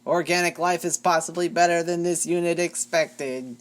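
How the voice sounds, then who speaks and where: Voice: medium pitch, fairly even intonation, normal speed, pitched above the performer's own voice, close to an everyday voice. A reader voicing a cold, staccato robot unit in a homemade fanfic reading.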